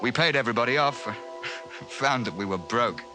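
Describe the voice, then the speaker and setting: An actor playing a gruff, scornful, stiff-upper-lip British big-game hunter in 1950s radio drama, with clipped old-fashioned colonial phrasing. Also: male voice, mid-range voice, expressive, moderate pace, lightly acted. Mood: dry recounting. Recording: vintage 1950s radio recording, music behind.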